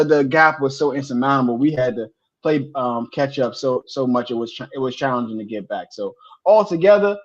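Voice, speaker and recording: A man co-hosting a sports podcast, talking casually and telling stories with animated repeats when excited. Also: high voice, muffled recording.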